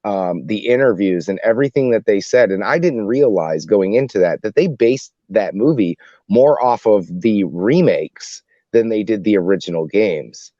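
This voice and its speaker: A man on a podcast panel sharing opinions casually in a halting, rambling way, often rephrasing himself. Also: fairly low pitch, animated, mellow, no room sound. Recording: dull lo-fi dry voice track.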